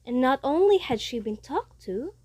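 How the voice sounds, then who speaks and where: A woman's voice: high-pitched, big pitch swings, moderate pace, mellow, dry recording. A young woman reading scripted story narration as a readers' theatre narrator. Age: young adult.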